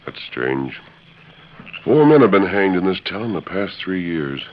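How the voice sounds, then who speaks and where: Masked western hero: extremely deep, expressive, authoritative, on a muffled old radio recording. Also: male voice. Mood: pondering. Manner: authoritative, deliberate, formal diction.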